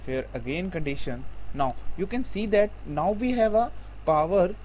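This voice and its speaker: A man giving a programming tutorial, explaining step by step in a halting, repetitive way. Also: high voice, expressive, a little mellow, rough recording. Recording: faint background noise.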